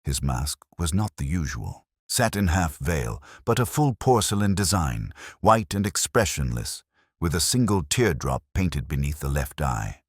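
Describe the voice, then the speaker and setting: A man narrating a scripted mystery story in a measured, formal way. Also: rumbling low, big pitch swings, husky, no echo, clean studio sound. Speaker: audiobook narrator.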